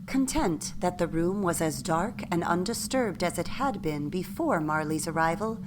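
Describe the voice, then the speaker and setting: A woman narrating a radio play in an expressive, deliberate storytelling manner, with faint noise in the background. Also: on the low side.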